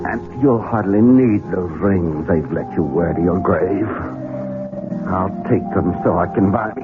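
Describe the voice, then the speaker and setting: A resentful man in his late thirties, gloating and falsely tender in a low voice. His delivery is theatrical 1970s radio mystery acting. The sound is a narrow, muffled old broadcast with music loud behind it. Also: measured pace. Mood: gloating, bitter. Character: bitter, greedy, resentful grown son of thirty-eight, menacing.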